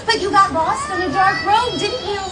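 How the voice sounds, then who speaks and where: A woman's voice: extremely high pitch, normal speed, heavy background noise. A young woman playing a sweet, gracious storybook heroine, telling a tale to children in a theatrical, gushing, encouraging way at a live show. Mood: storytelling, animated. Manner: theatrical, gushing, encouraging, sing-song questioning. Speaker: theme park character storyteller. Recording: distant echoey live show, loud music and crowd. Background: loud music and audience of children.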